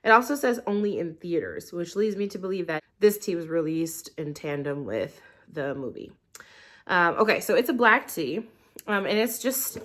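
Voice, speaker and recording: A woman's voice: lowish voice, fairly bright tone. Congested, nasal young woman chatting casually. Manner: chatty, rambling, many ums. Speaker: tea review vlogger. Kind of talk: casual review vlog. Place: living room at home. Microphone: camera in portrait mode.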